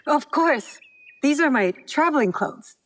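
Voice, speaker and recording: A bold, higher-voiced lady trader. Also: lively intonation, measured pace, fairly bright tone. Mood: self-assured. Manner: polite, self-assured, careful. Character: bold, composed young woman posing as trader. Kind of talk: video game cutscene acting.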